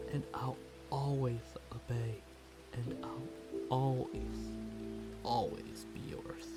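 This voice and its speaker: A man plays an obsessively devoted stray catboy in an ASMR roleplay. He speaks slowly at a medium pitch, in halting, pleading phrases, submissive and clingy toward the listener. Prominent music and rain run behind the voice. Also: close to an everyday voice. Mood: adoring, obsessive.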